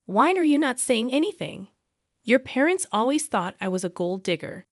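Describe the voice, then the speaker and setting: A woman's voice: on the high side, big pitch swings, moderate pace, dry recording, clean studio sound. Indignant wronged young wife, voiced by a woman. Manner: challenging, rhetorical questioning.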